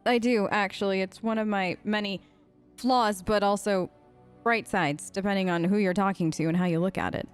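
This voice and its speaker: A woman hosting a let's play, improvising in-character dialogue in a chatty, expressive, reactive way. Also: mid-range voice, normal speed, clear, resonant tone, soft background music. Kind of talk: improvised in-character role-play over a game.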